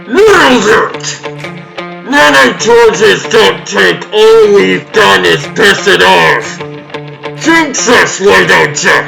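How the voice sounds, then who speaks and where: A man as a gravelly, high-strung combat soldier. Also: animated, slow, music in the background, exaggerated voice, poor audio. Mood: urgent, shouting. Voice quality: gravelly, strained. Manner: barking urgent orders and reports. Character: frantic, gruff military squad men in combat. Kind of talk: comic dub action acting.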